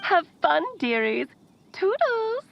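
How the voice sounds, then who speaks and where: Game witch: high, creaky, whimsical and doting, on a dull lo-fi recorded message. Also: big pitch swings, slow, slight background noise, heavily acted. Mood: playful, breezy. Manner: sing-song, doting, whimsical. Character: playful, breezy witch leaving a recorded message. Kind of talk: animated video game voice acting.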